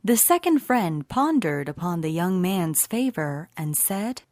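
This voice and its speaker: A woman narrating a scripted children's bedtime story in an expressive, deliberate way. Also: lowish voice, no room sound, studio quality.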